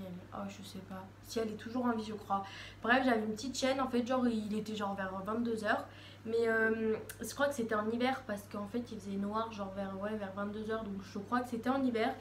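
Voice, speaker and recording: Une adolescente qui parle face à sa caméra, bavarde, hésitante, avec beaucoup de « euh » et de reprises. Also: female voice, medium pitch, fairly even intonation, normal speed. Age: teens. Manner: chatty, rambling, many fillers and restarts. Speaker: vlogger. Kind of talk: casual talk to camera. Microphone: phone camera. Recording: echoey room, faint background noise.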